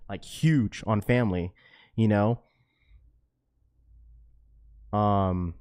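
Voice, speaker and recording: A man hosting a livestream, chatting with his viewers in a relaxed, slangy way and tossing in "bro" and "dude" as he goes. His voice sits in an ordinary middle range. The recording is dry and close, with no room echo. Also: mid-range voice, clean tone. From Washington state, US. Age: young adult to middle-aged, a father of a schoolchild. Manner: laid-back and slangy, full of bro, dude and like. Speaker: livestream host reading chat. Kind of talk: casual livestream chat.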